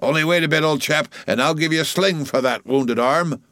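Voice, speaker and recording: A man voicing an excitable, greedy, cruel whaleboat mate in an audiobook, pleading frantically in repeated exclamations. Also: mid-range voice, measured pace, no room sound. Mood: taunting. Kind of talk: audiobook storybook character voice.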